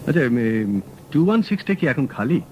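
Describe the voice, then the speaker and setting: An actor playing a sharp young male private detective in a radio drama, asking brisk, probing questions. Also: a little high, lively intonation, background noise, close to an everyday voice. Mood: calm, probing. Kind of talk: 1970s radio drama acting. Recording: dull old radio broadcast.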